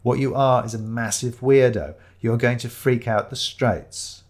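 A man's voice: medium pitch, steady tone, normal speed, close to an everyday voice. A man playing an awkward, easily exasperated male sidekick with a fussy manner.

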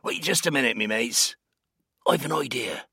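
A crafty old sailor rogue on a crutch, as a storyteller puts him on, with a gravelly, rasping voice pushed up high that swings widely in pitch. The sound is close and dry. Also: male voice, animated, moderate pace, pushed and bright, dry recording, full character voice. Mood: scheming.

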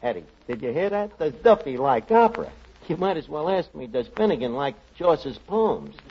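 An actor playing a wisecracking, scheming tavern manager, in a 1940s radio comedy, talking brash and slangy. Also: male voice, on the high side, sing-song, normal speed, breathy edge, heavily acted. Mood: sly. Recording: lo-fi old radio broadcast.

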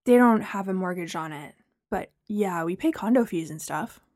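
A shy, uneasy young man, voiced by a woman narrator in an audiobook. He speaks quietly and hesitantly. His words come out as an embarrassed mutter. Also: on the low side, expressive, moderate pace, dry recording, studio quality, close to an everyday voice. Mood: uncomfortable.

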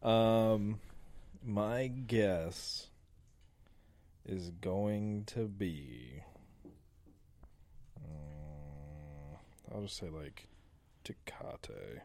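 Deep-voiced, blunt male podcast guest. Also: drawn-out words, long pauses, a little mellow, slight background noise. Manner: blunt, opinionated. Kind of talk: casual chat.

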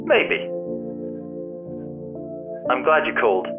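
A man's voice: medium pitch, huge pitch swings, slow pace. An actor playing a caring, bookish man in a naturalistic audio drama, earnest and wry, heard through a telephone filter. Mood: tender, longing. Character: caring, bookish man missing his departed friend. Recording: tinny phone line, music behind.

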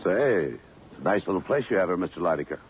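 An actor playing a soft-spoken, casual homicide detective in a 1950s radio drama. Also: male voice, low voice, lively intonation, fast talker, slight background noise. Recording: dull 1950s radio broadcast.

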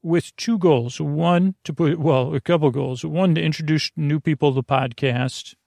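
A man hosting a bedtime podcast in a gravelly voice, rambling and halting as he wanders off into tangents. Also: a little high, a little mellow, dry recording. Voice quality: gravelly, creaky. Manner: meandering, trails off into tangents; halting, stumbling delivery. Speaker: podcast host.

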